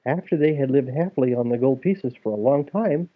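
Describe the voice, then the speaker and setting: A man's voice: mid-range voice, fairly quick. A man reading a children's fairy tale aloud as a storyteller, acting it out as he goes. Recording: tinny, phone-like narrow sound.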